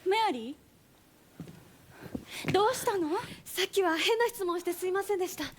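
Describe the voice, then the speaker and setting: Woman acting very high, anxious young female. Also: fairly quick, slight background noise. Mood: anxious, gentle.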